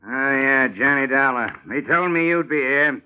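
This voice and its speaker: An actor playing a blunt, gruff police lieutenant in 1940s radio acting. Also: male voice, mid-range voice, unhurried, close to an everyday voice. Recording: tinny old radio broadcast.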